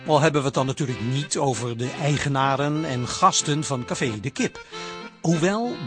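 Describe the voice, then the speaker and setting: An actor with a Dutch accent playing a wry storyteller who introduces a comic radio serial. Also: male voice, fairly high pitch, natural voice. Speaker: narrator. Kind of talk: radio play narration.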